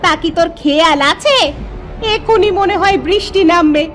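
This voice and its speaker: A woman's voice: extremely high pitch, moderate pace. A woman voicing a scolding, melodramatic village mother in a cartoon. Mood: nagging. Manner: nagging, melodramatic. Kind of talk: Bengali cartoon voice acting.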